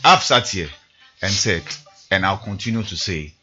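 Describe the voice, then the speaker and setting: A man's voice: low voice, animated, moderate pace, pushed and bright. A man, hosting a studio discussion and arguing his points emphatically. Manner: emphatic, argumentative. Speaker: host. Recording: dull lo-fi sound with faint music.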